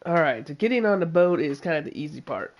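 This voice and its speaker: A man streaming a game live, chatting casually with viewers in a halting way with many filler words. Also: fairly high pitch, expressive, dull audio. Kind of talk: casual live gameplay commentary.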